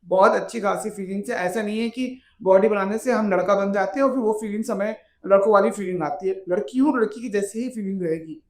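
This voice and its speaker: A woman bodybuilder with a naturally deep, heavy voice, speaking bluntly and defiantly as an interview guest. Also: fairly quick, hardly any pauses. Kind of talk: candid interview talk.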